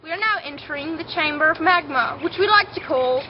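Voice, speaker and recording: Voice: high-pitched, lively intonation, brisk. A child or young teen student presenting a scripted homemade school film as narrator. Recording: dull, noisy homemade video sound.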